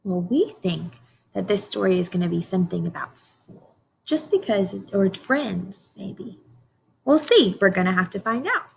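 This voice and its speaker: A woman teacher reading a picture book aloud to young children, expressive, often pausing to question her listeners directly. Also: mid-range voice, creaky, a little hiss or hum, low-quality recording. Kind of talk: informational read-aloud lesson for young children.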